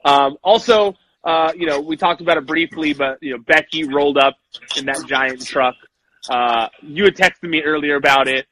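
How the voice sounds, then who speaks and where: A young man co-hosting a casual wrestling podcast, animated and quick to act out lines he quotes. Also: on the high side, a little hiss or hum, low-quality recording. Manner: animated, acts out quotes in voices. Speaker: podcast co-host.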